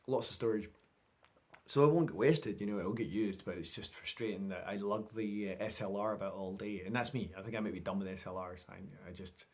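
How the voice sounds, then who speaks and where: A middle-aged man talking casually to camera in a chatty, rambling, informal vlog style. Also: lowish voice. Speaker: vlogger.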